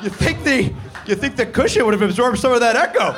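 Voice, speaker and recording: A man's voice: very high voice, expressive, fairly quick, background noise. A man hosting a live comedy show in a theatre, riffing on the crowd with sarcastic, profane asides. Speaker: comedy podcast host. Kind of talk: live stand-up banter with the audience. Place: theatre stage before a live audience.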